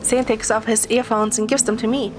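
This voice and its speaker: A woman's voice: a little high, steady tone, a little fast. A woman narrating a scripted story in the first person. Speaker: story narrator, amateur voice performer. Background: clear background music.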